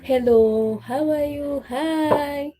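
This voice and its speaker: A woman's voice: high voice, extremely slow, dark tone. A woman from Kenya with a cold, chatting casually with viewers of her livestream while washing dishes in her home kitchen. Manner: chatty, greets viewers, reads the live chat aloud. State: has a cold. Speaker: livestream host. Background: music.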